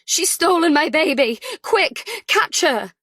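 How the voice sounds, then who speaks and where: A woman voicing a panicked new mother in an audiobook, shrill, urgent and insistent. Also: lively intonation, takes it slowly, a bit bright, no room sound, clean studio sound, exaggerated voice. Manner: urgent, pleading.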